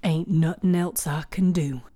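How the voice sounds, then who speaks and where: A woman's voice: lowish voice, steady tone, measured pace, warm tone, no echo. A dry, world-weary older country woman, as a female narrator puts her on, speaks. She is a plainspoken storybook healer who takes her time over every folksy line, recorded close and dry. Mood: grave, plainspoken.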